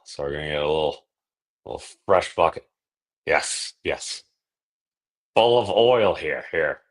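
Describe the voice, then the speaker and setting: Male livestream host, lowish voice. Casual, digressive, jokey and happy to poke fun at himself. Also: fairly bright tone. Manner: self-deprecating, jokey, digressive. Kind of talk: casual rambling livestream chat.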